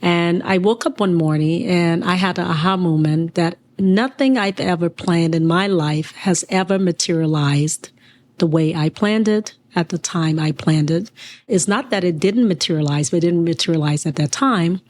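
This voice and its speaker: A woman in her forties, originally from Liberia, talking earnestly and at a measured pace as an interview guest, pausing mid-sentence. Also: on the low side, darkish tone. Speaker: guest, business owner. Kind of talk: reflective personal interview.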